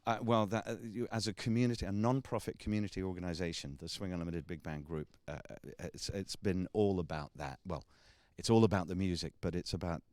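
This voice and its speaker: A man's voice: sits low, fairly even intonation, quick pace, few pauses, darkish tone, dry recording. A sixty-year-old man, a big band director and trumpeter, chatting casually in an interview with many ums and restarts. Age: 60s. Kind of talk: casual radio interview chat.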